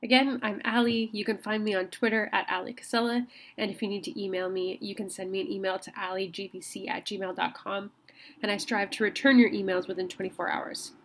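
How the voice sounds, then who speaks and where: A woman with a slight cold walks her students through a recorded college lecture. She talks in a friendly, conversational way, often pausing on ums and starting her sentences over. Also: mid-range voice, fairly even intonation. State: has a cold. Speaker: college instructor.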